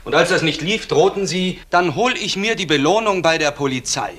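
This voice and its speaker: An actor playing a stern, accusing police investigator in a German crime radio play, with pointed, interrogating delivery. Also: male voice, fairly high pitch, animated, moderate pace, a bit bright, slight background noise. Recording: roomy, echoing studio sound.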